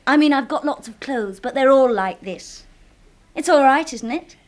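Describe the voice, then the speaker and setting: An English actress playing a breezy, flippant young office typist in a radio drama. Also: female voice, sits high, animated, normal speed. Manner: casual, flippant. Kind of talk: 1970s radio drama acting.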